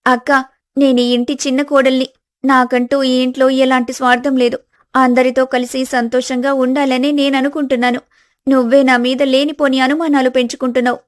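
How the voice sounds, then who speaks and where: A woman voicing a gentle, innocent younger daughter-in-law, pleading and apologetic, in a village family story. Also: high-pitched, level tone, moderate pace, no room sound, studio quality, natural voice.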